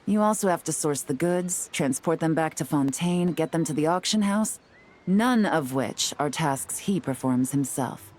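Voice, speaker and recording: An actress playing a shrewd, composed female investigator in a video game cutscene, explaining things in a calm, measured way. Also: sits low.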